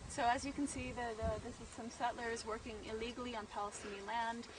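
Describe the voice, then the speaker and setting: A woman's voice: a little high, steady tone, fast talker. A woman activist explaining to her camera outdoors while she films. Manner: explaining to camera, then arguing. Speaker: activist filming on site. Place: outdoors on farmland. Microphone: handheld camera. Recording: distant camera mic with loud outdoor noise.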